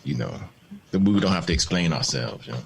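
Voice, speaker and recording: Man, very low voice, reflective storyteller, laughs easily, candid panel guest on a video call. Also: big pitch swings, brisk, creaky, a little hiss or hum. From born in the Bahamas, raised in Maryland. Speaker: guest, film and TV showrunner.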